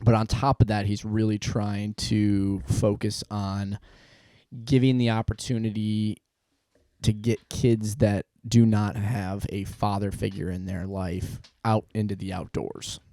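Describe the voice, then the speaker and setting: A man's voice: on the low side, level tone, unhurried, mellow, dry recording, clean studio sound. A man hosting a podcast, talking casually and bantering with his co-host. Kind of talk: casual banter and show intro.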